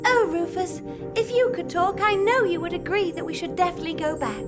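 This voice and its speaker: A woman's voice: very high voice, brisk, loud music behind the voice. A woman acting an idealistic, righteous young heroine in a live stage comedy, earnest and declamatory. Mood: resolute.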